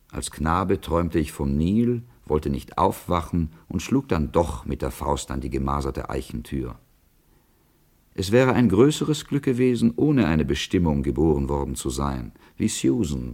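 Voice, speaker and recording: A proud man of business, burdened and lonely. His voice is extremely deep. He speaks gravely and formally, with deliberate phrasing, in a literary radio drama. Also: darkish tone, heavily acted. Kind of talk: literary radio play monologue.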